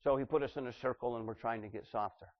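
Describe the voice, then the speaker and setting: A man preaching a sermon as pastor of his church, with a loud voice that swings between booming and quiet. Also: fairly even intonation, fast talker, lo-fi sound. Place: church sanctuary.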